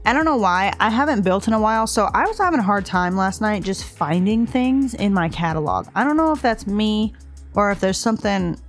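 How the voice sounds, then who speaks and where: A woman's voice: mid-range voice, lively intonation, a little fast. A woman casually narrating a speed build of her game house, a little stuffed up from allergies, rambling and hesitant. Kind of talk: casual voice-over commentary. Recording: voice-over with faint music behind.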